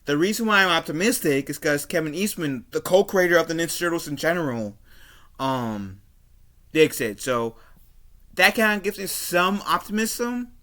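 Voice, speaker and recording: A man giving casual opinion commentary as a video host, halting and often repeating and rephrasing himself. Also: on the high side, expressive, fairly bright tone. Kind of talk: casual opinion commentary, reading an article aloud.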